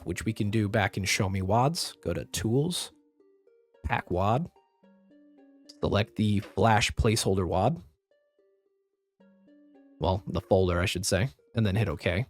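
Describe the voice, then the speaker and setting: A man with a lowish, slightly raspy voice talks through each step of a computer tutorial in a plain, practical way. His throat is still a bit rough from a recent flu. Faint music plays quietly behind his voice-over. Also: expressive, measured pace, long pauses. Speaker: tutorial host. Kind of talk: screen-recorded software tutorial.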